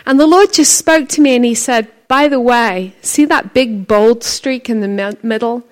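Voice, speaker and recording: A woman with a loud voice giving a chatty, storytelling talk as a speaker at a faith conference. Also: a little high, normal speed. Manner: chatty, animated storytelling with acted quotes. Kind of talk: conference sermon.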